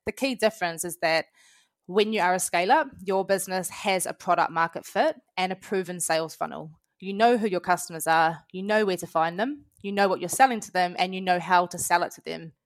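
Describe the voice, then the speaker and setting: A woman's voice: on the low side, fairly quick, dry recording, studio quality. A woman in her late twenties with a New Zealand accent, a guest answering interview questions in a chatty, friendly way. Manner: chatty, friendly, with many "you know" fillers. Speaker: guest, head of customer success.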